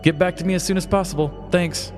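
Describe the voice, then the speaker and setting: A man voicing a gruff fifty-something stoner factory worker with a laid-back drawl. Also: high-pitched, fairly even intonation, fast, music in the background, pitched above the performer's own voice, lightly acted. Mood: hesitant.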